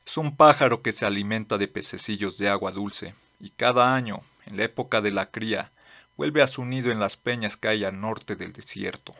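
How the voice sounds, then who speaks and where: A man playing a blunt, earnest old lawman in a manga dub, speaking formally and deliberately. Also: fairly low pitch, creaky, sharp tone. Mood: earnest, firm. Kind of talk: dramatic dubbing.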